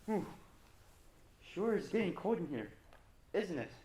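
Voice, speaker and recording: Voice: mid-range voice, very animated, unhurried, dark tone, echoey room. Suspicious, jumpy detective as a student actor plays him, blunt, swinging intonation, faint noise, stage drama. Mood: sceptical. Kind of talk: amateur stage play acting.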